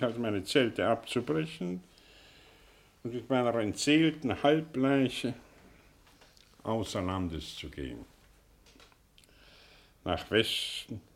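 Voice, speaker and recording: An actor playing a melancholy, sardonic exiled professor in a German radio drama, musing and declaiming with long pauses. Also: male voice, medium pitch, very animated, creaky, exaggerated voice. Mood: weary resigned.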